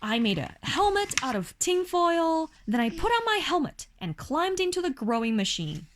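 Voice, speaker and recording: A woman's voice: extremely high pitch, a little hiss or hum. A woman with a Chinese accent reads a children's picture book aloud expressively, as a parent would to a young child. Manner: slow, animated storytelling for a young child. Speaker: parent reading a bedtime story to a child.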